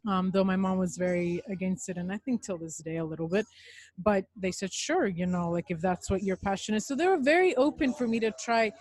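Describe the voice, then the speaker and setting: A woman's voice: mid-range voice, a little fast, a little mellow. A young woman from Dubai, Serbian as her first language, chatting openly as a podcast guest in a cafe. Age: young adult. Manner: open, chatty storytelling. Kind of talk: casual interview chat.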